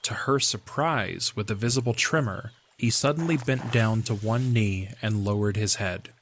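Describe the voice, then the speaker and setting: A man's voice: on the low side, moderate pace, a little hiss or hum. A man reading scripted story narration as the narrator of an audio drama.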